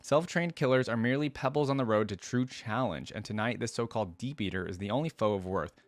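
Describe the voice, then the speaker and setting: Male reaction host, low register, very dry sound, reads story text with offhand asides. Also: no room sound. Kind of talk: reading a story aloud with casual commentary.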